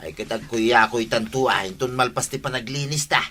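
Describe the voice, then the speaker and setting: A man's voice: mid-range voice, brisk, sharp tone. A man acting a nosy, eager village husband in a comedic radio drama, with an Ilocano accent. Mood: urging.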